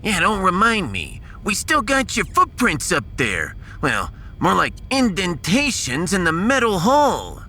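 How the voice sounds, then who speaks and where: Man acting a showy, wisecracking shuttle pilot, very animated. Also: high voice, measured pace, few pauses, slight background noise, voice pushed up, full character voice. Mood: joking. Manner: showy mock-announcer salesmanship. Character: wisecracking shuttle pilot doing cheesy limo-driver patter. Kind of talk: science fiction audiodrama acting.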